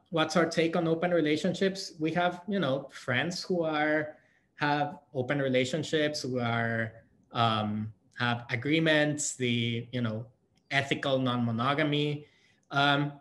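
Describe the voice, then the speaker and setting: A man from Guatemala answering audience questions at a conference session, thoughtful and halting, with many fillers and restarts. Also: medium pitch, a bit bright.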